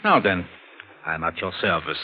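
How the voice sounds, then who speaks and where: An actor playing a courteous, guarded antique dealer with a formal, measured manner in a 1940s radio drama. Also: male voice, sits low, very animated, husky, exaggerated voice. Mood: smooth, guarded. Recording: muffled, hissy vintage radio recording.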